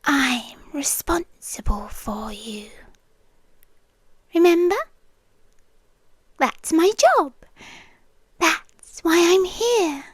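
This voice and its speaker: A woman with a British accent playing a possessive, sweetly controlling yandere landlady girlfriend in an intimate ASMR roleplay, often breathy and whispering. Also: extremely high pitch, animated, no echo, exaggerated voice. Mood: needy, possessive. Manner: slow, deliberate, coaxing and commanding.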